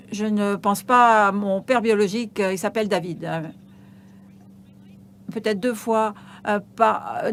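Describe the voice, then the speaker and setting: A woman giving a live interpretation of an on-stage conversation, halting, with frequent hesitations and restarts. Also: mid-range voice, a little hiss or hum.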